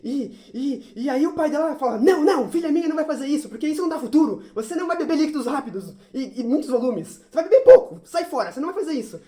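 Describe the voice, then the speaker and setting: Woman playing an excitable, fast-rambling naive guy. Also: sits high. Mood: dramatic, worked up. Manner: rambling, repetitive, dramatic storytelling. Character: Alberto, naive, excitable, scatterbrained male amateur sleuth. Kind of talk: comic theatrical audio drama. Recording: roomy, echoey sound.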